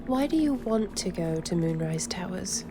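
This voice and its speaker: A woman's voice: lowish voice, lively intonation, moderate pace, over music, natural voice. A woman voicing a direct, inquisitive adventurer heroine in a fantasy game, plain-spoken and composed. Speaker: amateur voice actor. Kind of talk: scripted fantasy game dialogue.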